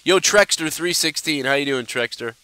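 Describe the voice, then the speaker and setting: A man's voice: on the high side, normal speed, bright, forceful tone, clear, resonant tone, no echo. A young man hosting a casual live stream, blunt and ranting, sounding a little nasal from a stuffed-up nose. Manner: blunt, ranting, loose and profane. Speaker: livestream host answering viewer questions.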